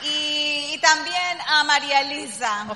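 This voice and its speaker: A woman speaks slowly from a convention stage, greeting the crowd in short pieces that leave room for an interpreter. Her voice is high and bright. A long hall reverb and a faint crowd sit behind her. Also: slight background noise. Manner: slow, warm greetings to the crowd. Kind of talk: speech paused for live interpreting.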